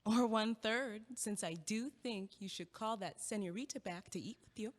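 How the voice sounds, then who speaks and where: A woman's voice: medium pitch, moderate pace, close to an everyday voice. A young woman playing an earnest, stubbornly logical, feisty student in an audio play. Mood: tentative, warming. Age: young adult. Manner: emphatic, argumentative. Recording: echoey hall with long reverb.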